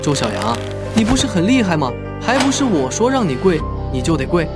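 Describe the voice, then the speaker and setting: An actor playing an arrogant young village bully who taunts with a sneer, over loud background music. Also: male voice, high voice, big pitch swings, a little fast. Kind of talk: animated drama dubbing.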